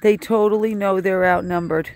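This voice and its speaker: A woman's voice: medium pitch, steady tone, moderate pace, darkish tone. A woman filming a casual outdoor vlog, exclaiming in amazement and repeating her phrases as she narrates to viewers. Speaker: animal sanctuary host filming a vlog. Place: outdoors at a rural animal sanctuary.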